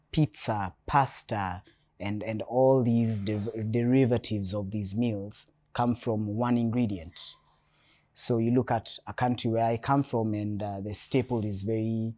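A Kenyan man from Nairobi speaking as a guest on a panel, measured and reflective, often restarting his sentences. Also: on the low side, mellow. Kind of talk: panel discussion. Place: hotel event space.

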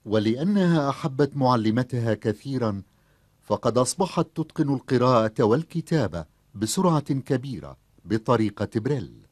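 A man's voice: medium pitch, lively intonation, moderate pace, a little mellow, no echo. A man narrating a children's cartoon story in scripted storytelling style.

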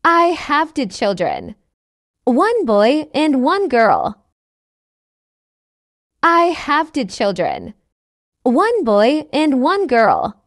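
A woman's voice: high-pitched, wildly swooping pitch, drawn-out words, long pauses, no echo, studio quality. A woman reading a simple English dialogue for learners, carefully enunciated and repeating each line. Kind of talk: slow scripted dialogue reading.

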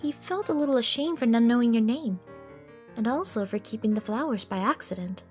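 A woman's voice: a little high, brisk, faint music behind, lightly acted. A woman voicing a sweet, bubbly young female hedgehog in a cartoon comic dub, cheerful and affectionate. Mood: warm, kind.

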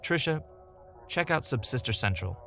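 An actor playing a jaded former reality show winner turned podcaster, blunt and world-weary, in an audio drama. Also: male voice, mid-range voice, sing-song, moderate pace, gravelly, pushed and bright, soft background music, heavily acted. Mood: explaining. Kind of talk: audio drama dialogue and confessional narration.

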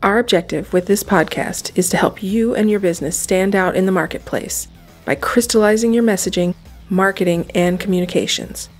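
A woman reading a scripted closing announcement for a business podcast in a polished, steady way. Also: medium pitch, brisk, faint music behind.

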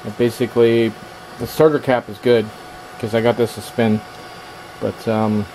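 A man giving casual, halting hands-on repair commentary, thinking aloud as he works in a small workshop. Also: mid-range voice. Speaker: electronics repair hobbyist hosting a video. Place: small indoor workshop at a workbench. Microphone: handheld camera's built-in microphone. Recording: noisy handheld camera sound, steady background hum.

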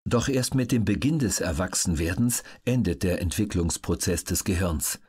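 A man reading scripted documentary audiobook narration in a measured, factual manner. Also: deep voice, animated, darkish tone, slightly rough.

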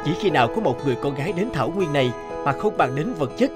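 A man's voice: fairly high pitch, lively intonation, fast talker, close to an everyday voice. A man voicing a patient, courteous suitor and king in a Vietnamese cartoon, speaking in formal phrases. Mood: proud, solemn. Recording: background music under the voice.

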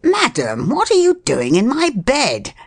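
A brusque, sensible gentleman from a storybook played by a woman reader, low in pitch and lively in inflection. He is matter-of-fact and courteous, a hard-worked man with little time for nonsense. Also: moderate pace, no room sound, heavily acted. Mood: indignant. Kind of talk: storybook character voice.

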